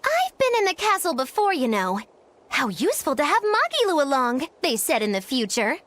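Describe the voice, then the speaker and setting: A high-voiced young witch in a video game. She is flippant and theatrical, swooping through teasing, grandiose lines like a stage fortune teller. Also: huge pitch swings, normal speed, fairly bright tone, heavily acted. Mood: playful, smug. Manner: teasing, grandiose old-fashioned wording.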